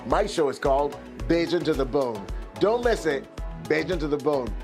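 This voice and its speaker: A man with a Barbadian accent, a radio host promoting his morning show in a playful, energetic voice. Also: a little high, moderate pace, over music.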